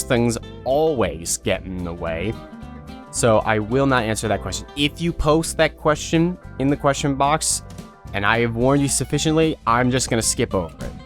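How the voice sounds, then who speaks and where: A man speaking informally and directly to viewers as an online creator, explaining guidelines in a casual video announcement. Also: medium pitch.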